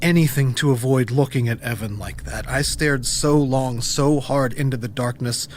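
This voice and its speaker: A man's voice: medium pitch, moderate pace, lightly acted. Male, actor playing haunted ex-preacher turned monster hunter, brooding audio-drama monologue, mid-range voice, some background noise. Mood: haunted, heavy. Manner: brooding, intense, dramatic delivery.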